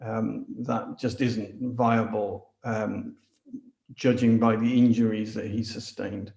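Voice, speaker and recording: A man acting as a sober expert witness in an echoey room. His voice is gravelly and flat, and he gives halting, deliberate inquest testimony. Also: fairly low pitch, dull audio. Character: matter-of-fact male expert witness at an inquest. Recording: echoey, roomy sound.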